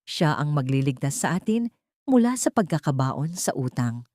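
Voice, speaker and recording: A woman's voice: low-pitched, big pitch swings, moderate pace, darkish tone, no echo, studio quality, pitched below the performer's own voice. A woman playing a cold, manipulative older rich mother in a dramatic audio story, speaking sharply and commandingly. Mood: scornful.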